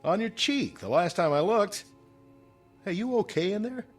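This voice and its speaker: An American actor playing an easygoing, puzzled office colleague in a naturalistic drama, casual, hesitant and given to banter. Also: male voice, sits high, expressive, moderate pace, faint music behind.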